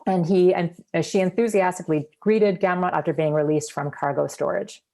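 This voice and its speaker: A woman presenting a seminar paper, reading in a measured way with frequent ums and uhs. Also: fairly low pitch, dark tone. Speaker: academic presenter.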